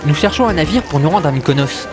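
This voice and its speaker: A man's voice: fairly high pitch, animated, fast talker, lightly acted. A man playing an earnest, easily flustered ex-soldier hero in a comic audio drama, explaining things with slight exasperation. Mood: reassuring. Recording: loud music behind.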